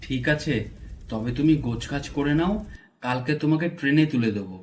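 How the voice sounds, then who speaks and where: A man's voice: mid-range voice, brisk, a little hiss or hum, lightly acted. A man playing a careworn, well-meaning old village husband and father in a cartoon folk tale, earnest and plaintive. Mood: resolved.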